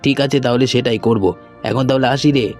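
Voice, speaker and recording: A man's voice: mid-range voice, steady tone, normal speed, darkish tone, natural voice. A man playing a friendly young businessman in a cartoon, talking in a casual, conversational way. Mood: polite, friendly. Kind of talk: cartoon dialogue acting. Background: background music.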